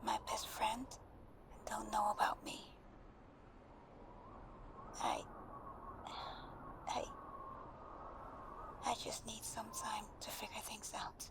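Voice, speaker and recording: Woman acting timid, whispery, slow-talking best friend. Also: on the high side, monotone. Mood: apologetic, tender, anxious. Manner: hesitant, soft, breathy half-whisper. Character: timid, anxious best friend confessing love by voice message. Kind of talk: intimate ASMR roleplay acting.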